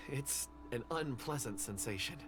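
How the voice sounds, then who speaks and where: A man's voice: mid-range voice, lively intonation, moderate pace, over music, close to an everyday voice. An actor playing a polite, troubled and forgetful wandering man, hesitant and apologetic, in a video game. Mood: troubled, uncertain. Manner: hesitant, apologetic, soft-spoken formality.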